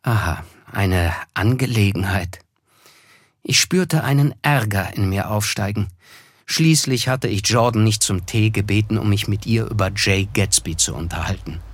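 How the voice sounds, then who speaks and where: An actor playing a sceptical, observant narrator in a radio drama, recalling events in a literary, reflective and dryly ironic way. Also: male voice, lowish voice, normal speed, no echo, natural voice. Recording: studio radio play with music underneath.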